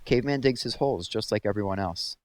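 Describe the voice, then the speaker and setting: A man's voice: on the low side, fast, lightly acted. A man voicing a stern, sarcastic female camp warden with cool, pointed questions in a storybook. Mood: cool sarcasm.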